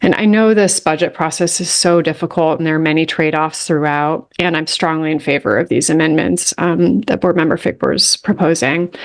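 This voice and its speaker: Earnest woman with a lowish voice. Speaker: public commenter.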